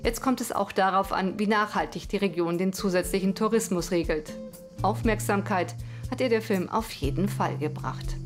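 A woman's voice: medium pitch, moderate pace. A woman reading scripted narration for a television news report. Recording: voice over music bed. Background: music.

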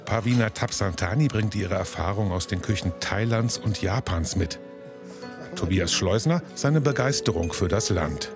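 A man narrating a TV food report in a smooth, descriptive voice-over. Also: on the low side, expressive, normal speed. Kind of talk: scripted TV narration. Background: music.

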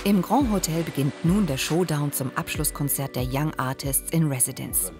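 Low-voiced woman narrating a documentary. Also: moderate pace. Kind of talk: scripted voice-over narration. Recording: voice-over with music bed.

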